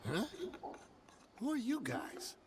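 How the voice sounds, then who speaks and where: An actor playing a shifty, nervous ex-mercenary smuggler in a video game. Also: male voice, high voice, huge pitch swings, slow pace, background noise, heavily acted. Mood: wary, hushed.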